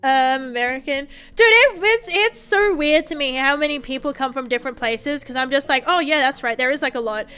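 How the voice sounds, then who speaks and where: Bright high-voiced Australian woman rambling. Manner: rambling, swears often, quick laughs. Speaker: vtuber streamer. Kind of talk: casual chat to viewers. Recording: faint music behind.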